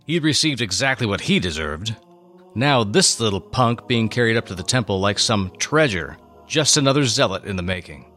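Low-voiced man, dramatic storyteller over music. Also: sharp tone. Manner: solemn, theatrical storytelling. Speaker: narrator and co-host. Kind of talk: dramatic scripted reading of a Bible story.

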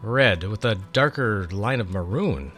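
A man's voice: lowish voice, animated, measured pace, fairly bright tone, soft background music. A man playing an earnest young male servant, inquisitive and deferential, in a dramatic storybook reading. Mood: thoughtful, eager.

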